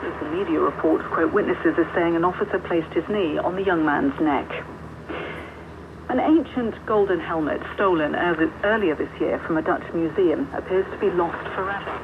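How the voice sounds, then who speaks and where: A shortwave broadcast comes through thin and narrow, with strong static. Over it a news reader with a medium-pitched voice reads a scripted world bulletin. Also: moderate pace. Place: radio studio, heard over shortwave receiver. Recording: noisy tinny shortwave radio reception. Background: loud radio static.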